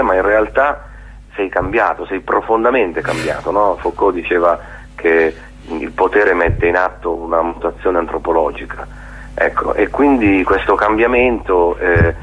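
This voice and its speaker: A man being interviewed on radio, speaking in a halting, reflective way and often checking for agreement. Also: low-pitched, slightly rough. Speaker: theatre maker being interviewed. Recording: dull, lo-fi radio interview sound.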